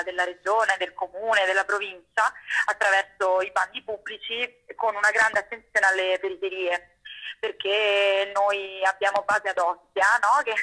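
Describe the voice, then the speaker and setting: A woman's voice: mid-range voice, pushed and bright, low-quality recording. A young woman in a radio interview, explaining things in an articulate, conversational way and often checking in with short tag questions. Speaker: guest, actress and playwright.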